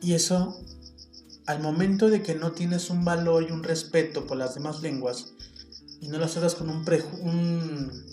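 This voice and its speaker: A man's voice: fairly high pitch, steady tone, a little slow, dark tone. A man with a Mexican accent hosting a reflective solo podcast, talking in an earnest, opinionated way and thinking aloud with small restarts. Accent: Mexican Spanish. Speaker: podcast host. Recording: roomy sound with faint guitar music behind.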